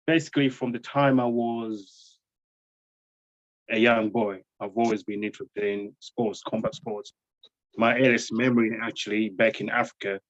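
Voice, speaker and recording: Soft-spoken man from Tanzania, low flat voice. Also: dry recording, highs cut off. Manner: soft-spoken, many fillers like um and you know. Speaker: interview guest. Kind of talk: humble, measured self-introduction. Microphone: video call.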